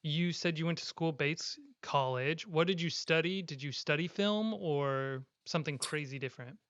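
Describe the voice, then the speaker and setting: A young man hosts a podcast in an upbeat, playful way, his voice a touch high and smooth. The sound is dry and slightly dull, like a lo-fi recording. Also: normal speed, a bit bright. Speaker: podcast host. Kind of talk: casual interview chat.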